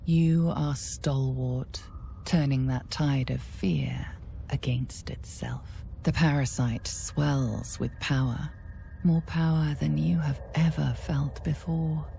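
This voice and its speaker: An actress playing a grave storyteller in a fantasy game, narrating in a hushed, deliberate, dramatic way. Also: female voice, low voice, fairly even intonation, creaky, slight background noise, exaggerated voice. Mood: grave, intense. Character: grave, ominous female storyteller of a dark fantasy. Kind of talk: scripted second-person game narration.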